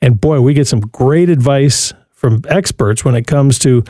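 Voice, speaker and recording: Male radio host, mellow dry studio voice, preachy and urging. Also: medium pitch, measured pace, no room sound. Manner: preachy, urging listeners to call.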